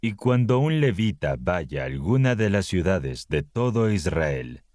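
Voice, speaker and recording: A man with a very low, deep voice reads scripture slowly and solemnly, weighing each phrase. The recording is dry and close, with no room sound around him. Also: very animated, darkish tone, no room sound. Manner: slow, solemn, measured reading. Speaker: Bible narrator.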